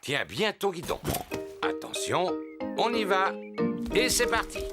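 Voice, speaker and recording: A man's voice: high voice, big pitch swings, unhurried, over loud music. A man voicing a jolly, good-natured father pig in a French-dubbed preschool cartoon, hearty and encouraging.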